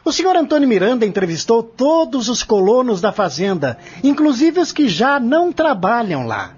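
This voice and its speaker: A man's voice: very high voice, sing-song, moderate pace, darkish tone, breathy edge, heavily acted. A man playing a confident, relentless lawyer in an audio drama, arguing in a formal, articulate, declamatory way. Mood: methodical, confident. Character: confident prosecuting lawyer, relentless, methodical, formal courtroom orator. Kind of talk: audio drama acting, courtroom scene. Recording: dull lo-fi audio with music underneath.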